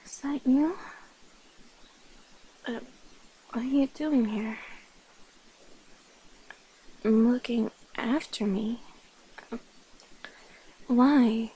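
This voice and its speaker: A woman playing a shy, playful and protective centuries-old vampire in an intimate ASMR roleplay, speaking softly and hesitantly. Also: a little high, extremely slow, pauses often, a little hiss or hum, low-quality recording. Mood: tender, hesitant. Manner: hesitant, soft-spoken, breathy whispers, nervous giggles. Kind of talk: ASMR roleplay, intimate one-sided dialogue.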